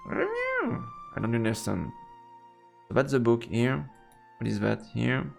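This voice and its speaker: A man's voice: medium pitch, wildly swooping pitch, slow, vocal fry. A man streaming a let's play, playfully commenting and reading game text aloud. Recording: roomy echo with long reverb, game music. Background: game music.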